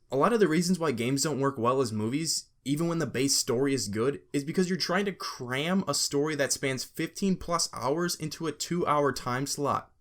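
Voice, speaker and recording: Male video essayist, slightly high voice, emphatic and informal, sarcastic edge, scripted opinion delivery. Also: a bit bright.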